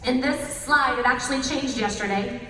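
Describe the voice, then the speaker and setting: A woman guest speaker with a husky voice, talking to an audience in a lively way and laughing often. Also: high-pitched, normal speed. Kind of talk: presentation to an audience. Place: large echoey hall. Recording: roomy hall recording from a distance.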